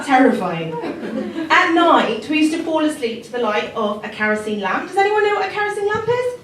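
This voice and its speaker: Lively high-voiced woman doing stand-up. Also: slight background noise. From Jamaica. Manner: wry, self-mocking, laughing at her own lines. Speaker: stand-up comedian. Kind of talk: stand-up comedy set. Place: comedy club stage. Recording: echoey club from far off. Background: audience laughter.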